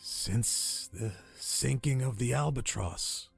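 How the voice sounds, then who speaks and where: Gravelly, dying old castaway. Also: male voice, medium pitch, faint music behind, full character voice. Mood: weary, haunted. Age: older. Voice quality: weak, raspy, breathy. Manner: halting, solemn, old-fashioned phrasing. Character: dying old castaway man, weary, haunted, grateful. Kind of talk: radio drama storytelling.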